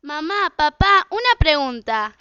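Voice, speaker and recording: A woman plays an inquisitive little daughter in a radio drama. Her voice is high, and the sound is dry and muffled. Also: big pitch swings, measured pace, pushed and bright, dry recording, heavily acted. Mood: questioning. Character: young schoolgirl Carla, questioning little daughter. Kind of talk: amateur radio play acting. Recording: dull, dry, lo-fi recording.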